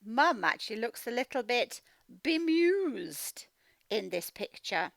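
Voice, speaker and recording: Lady phonics teacher reading to small kids, really lively high voice, asks lots of questions. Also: slow, gravelly, no echo, clean studio sound. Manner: sounds out words, animated questioning of children. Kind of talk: interactive storybook read-aloud lesson.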